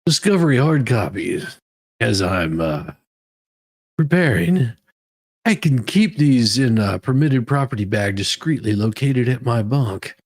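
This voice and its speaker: A man giving a mock-dramatic reading as a demanding woman inmate pleading her own case, with halting, emphatic delivery. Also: mid-range voice, expressive. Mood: earnest, insistent.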